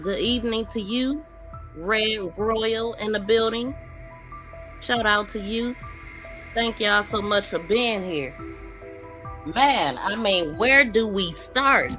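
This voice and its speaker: A woman with a bright, forward voice hosts a live commentary show. She talks casually and straight to her audience, with faint music underneath. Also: medium pitch. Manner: informal, conversational, addresses viewers directly. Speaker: livestream podcast host.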